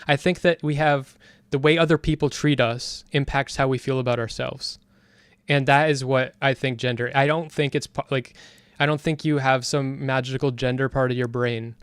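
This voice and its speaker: A man's voice: mid-range voice, steady tone, normal speed, clean tone, dry recording, clean studio sound. A man in a casual discussion, speaking bluntly and stating firm opinions. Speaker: discussion guest. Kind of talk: casual debate chat.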